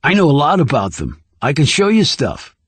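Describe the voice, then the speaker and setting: A man's voice: fairly high pitch, huge pitch swings, moderate pace, breathy edge, dry recording, heavily acted. A man voicing an earnest, curious, slightly awkward young boy in an audiobook. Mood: eager. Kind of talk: audiobook character dialogue.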